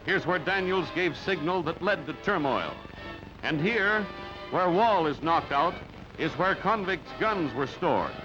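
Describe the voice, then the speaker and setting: A man's voice: a little high, expressive, measured pace. A man reads scripted newsreel narration in clipped, dramatic announcer phrases. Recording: dull band-limited newsreel audio, loud music. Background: loud music.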